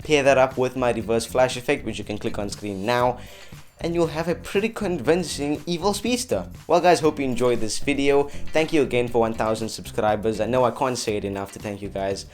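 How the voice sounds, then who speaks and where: A man hosts a how-to video with an upbeat, chatty delivery. His voice sits at an average pitch. Music plays softly behind him as he guides viewers through the steps. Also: a little fast. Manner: chatty, addresses viewers as guys. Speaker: host of an effects tutorial channel. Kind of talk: upbeat scripted tutorial narration. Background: background music.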